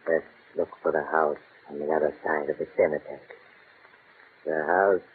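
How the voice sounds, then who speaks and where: A sinister horror-tale host. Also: male voice, a bass voice, steady tone. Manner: slow, insinuating, mock-sympathetic. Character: sinister, calm male host of horror tales. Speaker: storyteller host of the show. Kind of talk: 1940s radio acting. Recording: tinny old radio transcription, faint hiss.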